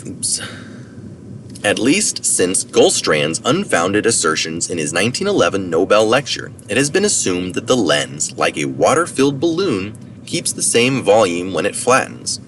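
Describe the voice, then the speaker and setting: A man's voice: lowish voice, very animated, normal speed, a bit bright. A man reading a science article aloud as narration, with informal asides for citations. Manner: informal asides for citations, occasional self-correction. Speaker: reader of articles. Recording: distant mic, noticeable background noise.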